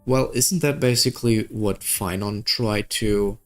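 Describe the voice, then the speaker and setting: A man's voice: on the low side, unhurried, soft background music. Man commenting through a game. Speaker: gaming video host. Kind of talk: game playthrough commentary, reading dialogue and reacting.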